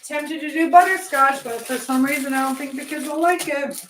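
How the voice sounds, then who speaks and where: Higher-pitched female voice, casual rambling livestream chat, thinking aloud, home kitchen, background noise with clicks. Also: fairly even intonation, normal speed, fairly bright tone, rough recording. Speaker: livestream host. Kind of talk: casual live-stream chat while baking.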